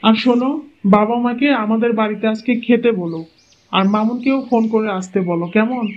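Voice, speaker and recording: A man's voice: extremely high pitch, a little fast. A man voicing a doting, easygoing village husband in a cartoon, affectionate and teasing. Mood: eager, agreeable. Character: doting, easygoing village husband, indulgent toward his wife. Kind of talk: cartoon moral-story voice acting. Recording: dull lo-fi sound with clear noise.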